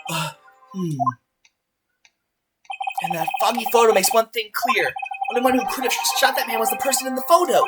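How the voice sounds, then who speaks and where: A man's voice: very high-pitched, moderate pace, pauses often. A man voicing an earnest, anxious young male defense lawyer in a video game fandub. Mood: anxious, pressing. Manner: earnest, questioning. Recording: loud music behind the voice. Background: loud music.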